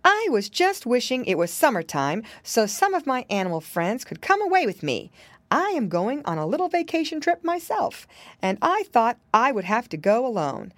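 A woman voices a jolly old rabbit gentleman from a children's storybook. He talks in a genial, courteous way, with a raised, lilting pitch and lively swings, recorded dry. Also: normal speed, dry recording. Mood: cheerful surprise.

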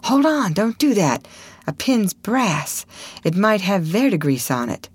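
A woman voicing a superstitious, excitable young country boy, bossy but easily scared. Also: lowish voice, slow, no room sound. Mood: bossy warning. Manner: breathy urgent exclamations and hushed whispering.

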